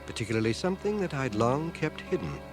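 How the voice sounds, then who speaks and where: An actor playing a wry returned soldier, narrating his memories in a measured, literary radio-drama style. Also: medium pitch, very animated, natural voice. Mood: wry, reflective. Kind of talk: scripted first-person radio drama narration. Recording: 1980 radio drama cassette, music and crowd behind. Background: music and crowd.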